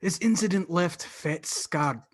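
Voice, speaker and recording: A man acts as a courtly count hosting a horror radio play. His pitch sits a little high for a man. He narrates in a formal, theatrical way. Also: unhurried. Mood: solemn. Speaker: host and narrator.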